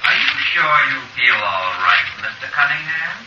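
A man's voice: mid-range voice, very animated, natural voice. Man as a courteous judge, distant and echoey. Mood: concerned, measured. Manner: measured, courteous, formal. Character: solicitous, formal male judge presiding over court. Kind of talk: old radio drama. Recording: echoey, distant, muffled, very noisy radio recording.

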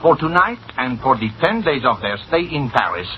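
An actor playing an excitable, scheming cafe manager in old-time radio comedy, blustering and theatrical. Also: male voice, mid-range voice, normal speed, natural voice. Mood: scheming, smooth. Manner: blustering, theatrical, hesitating with uhs. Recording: muffled, hissy old radio recording.

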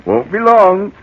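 A man's voice: mid-range voice, breathy edge, heavily acted. A man playing a sly, teasing rustic handyman, on an old radio recording. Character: sly rustic male handyman, middle-aged. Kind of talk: radio comedy acting. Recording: dull lo-fi vintage radio recording.

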